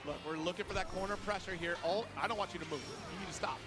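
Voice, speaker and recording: A man with a high voice commentates a live fighting game match. He talks quickly, in an emphatic but conversational way. Loud music runs behind his commentary. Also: fairly even intonation. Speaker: esports tournament commentator.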